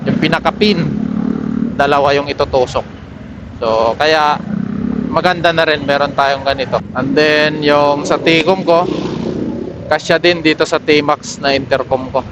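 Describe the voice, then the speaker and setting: Man vlogging over clear road noise. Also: fairly high pitch, steady tone, measured pace, long pauses. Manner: unscripted running commentary. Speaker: motorcycle vlogger. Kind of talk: casual vlog chat while riding. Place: outdoors on a motorcycle in city streets. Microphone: helmet intercom microphone. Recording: helmet mic with clear traffic noise. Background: vehicles and outdoor street noise.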